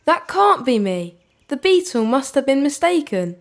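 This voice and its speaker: A woman's voice: sits high, sing-song, unhurried, voice pushed up, exaggerated voice. A woman voicing an earnest, anxious but brave young boy in a storybook, sincere and a little hesitant. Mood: doubtful, scared. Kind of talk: storybook character voice.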